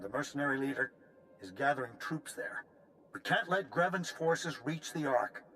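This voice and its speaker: An actor voicing an earnest official in a video game, giving formal, grave and urgent mission briefings. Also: male voice, mid-range voice, a bit bright, a little hiss or hum, poor audio. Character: earnest male resistance official briefing an agent.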